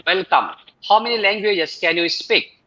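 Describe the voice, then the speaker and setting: Bright-voiced male tutor on a dull line. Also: high voice. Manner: halting, with many fillers. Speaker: English speaking tutor. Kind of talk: live online exam practice.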